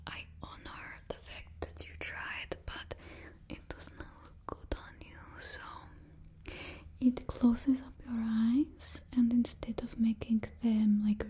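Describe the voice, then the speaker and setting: A young woman in her twenties, voicing a blunt female stylist for supervillains with a put-on Russian accent. She whispers close to the mic in an ASMR roleplay, critical but gentle. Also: fairly high pitch. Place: indoors, small studio with painted backdrop. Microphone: close ASMR microphone.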